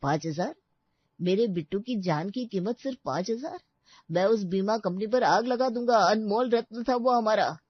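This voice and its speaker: Woman doing an emotional excitable village man, mid pitch, melodramatic, muffled story audio. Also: moderate pace, no room sound, close to an everyday voice. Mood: outraged.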